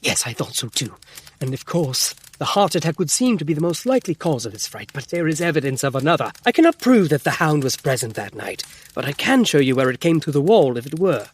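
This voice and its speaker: Excitable doctor gent, kinda high voice, formal and dramatic, audio drama style. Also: male voice, fairly quick, husky. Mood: excited. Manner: formal, emphatic, dramatic storytelling. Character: earnest, excitable gentleman doctor recounting a mysterious death. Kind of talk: full-cast audio drama acting.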